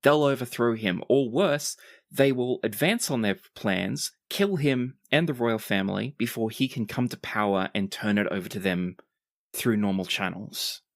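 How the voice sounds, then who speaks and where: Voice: mid-range voice, moderate pace, no room sound, lightly acted. A game master voicing a composed, earnest noblewoman with a dry manner in a tabletop roleplaying game. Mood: earnest, urgent.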